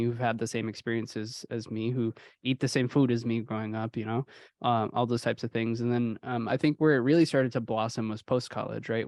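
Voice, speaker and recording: A man's voice: medium pitch, dry recording. A young man from Houston, a podcast guest talking casually and reflectively, with many "you know"s. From Houston, Texas. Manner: relaxed, uses many fillers like "you know". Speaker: podcast guest, sound engineer.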